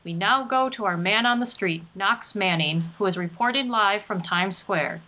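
A woman's voice: mid-range voice, normal speed, pushed and bright, close to an everyday voice, low-quality recording. A woman playing a composed but urgent news anchor in an old-time radio drama. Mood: grave urgency. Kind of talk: old radio newscast acting. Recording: faint background noise.

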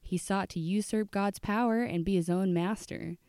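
A woman's voice: medium pitch, steady tone, moderate pace, warm tone, smooth, no room sound, studio quality, lightly acted. A woman voicing a probing, theatrical radio call-in show host for a story, with pressing, dramatic delivery.

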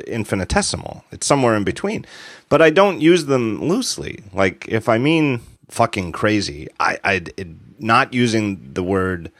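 A deep-voiced man in his forties hosts a casual podcast chat. His pitch swings widely as he rambles through restarts and asides. The sound is close and dry, with no room around it. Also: no echo. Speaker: podcast host.

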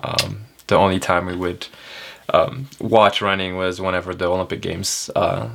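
Male interview guest, twenties, from Ethiopia. Deep register with vocal fry, casual, hesitant delivery full of fillers. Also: little pitch movement, fairly bright tone. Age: 20s. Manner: halting, hesitant delivery with frequent filler words. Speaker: elite runner, interview guest. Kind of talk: casual chat.

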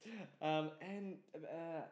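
A man's voice: a little high, fairly even intonation, extremely slow, darkish tone. Polite male interview host, echoey sound. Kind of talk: radio-style interview questioning.

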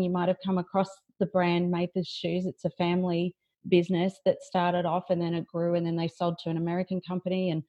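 A woman's voice: fairly low pitch, a little mellow, highs cut off. An Australian-accented woman chatting informally as a podcast guest, telling stories in a casual way. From country New South Wales, Australia. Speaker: podcast guest, branding expert. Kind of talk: casual conversational storytelling.

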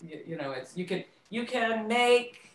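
A woman's voice: medium pitch, lively intonation, measured pace. A woman speaking conversationally as an interview guest who directs and produces theater, stressing points by repeating words. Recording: roomy, echoing sound.

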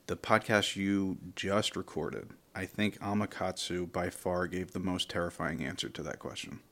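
A man's voice: low-pitched, flat delivery, normal speed, vocal fry, a bit bright. A man hosting a radio show and interviewing a guest in a casual, conversational style.

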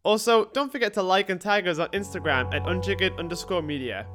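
A woman's voice: fairly low pitch, fairly quick. A woman reading a scripted podcast introduction as the show's announcer. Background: music.

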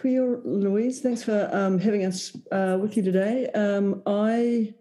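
A woman's voice: mid-range voice, steady tone, unhurried, dark tone. A woman with a New Zealand accent talking as a creative director guest, rambling with many ums. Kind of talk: casual interview.

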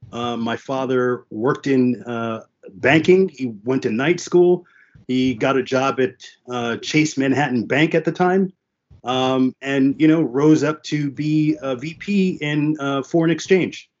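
A man's voice: medium pitch, lo-fi sound. A man whose first languages were French and Haitian Creole, telling his story candidly as a panel guest, with lots of "uh" and "you know". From United States (New York and New Jersey). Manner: earnest, frequent "uh" and "you know" fillers.

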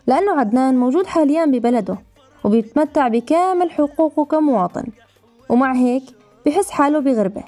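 A woman's voice: high-pitched, darkish tone. A woman reporter giving scripted narration, addressing the audience directly.

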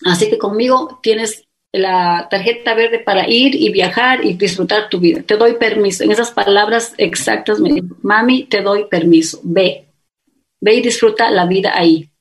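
A woman's voice: medium pitch, moderate pace. A middle-aged woman with an Ecuadorian accent, earnestly telling her life story as an interview guest and acting out what others said to her. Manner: earnest, animated storytelling with quoted dialogue.

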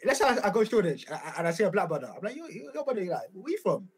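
A man, excitably chatting and repeating himself in a casual stream. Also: high voice, very fast. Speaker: livestream co-host. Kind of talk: casual chat.

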